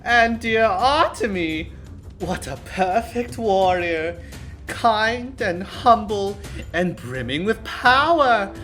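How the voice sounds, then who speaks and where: A man's voice: sits high, animated, slow, sharp tone, soft background music, full character voice. An actor voicing a smug, scheming male noble with a goading, mock-sweet, haughty delivery in a visual novel scene. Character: smug, scheming young male noble villain, taunting and theatrical. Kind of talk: dramatic visual novel character acting.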